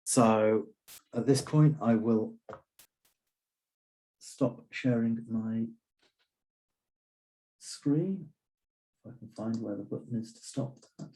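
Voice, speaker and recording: A man hosting an online user group meetup over a video call, giving casual, hesitant announcements with plenty of pauses and restarts. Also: mid-range voice, dark tone, rough recording. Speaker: user group organiser and host.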